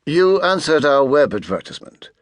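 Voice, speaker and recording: A man voicing a pale, testy adolescent mastermind in a soft, clipped voice, speaking formally and with authority in an audiobook. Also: a little high, big pitch swings, normal speed, no echo, pitched above the performer's own voice. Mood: cool, menacing. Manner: clipped, precise, formal speech.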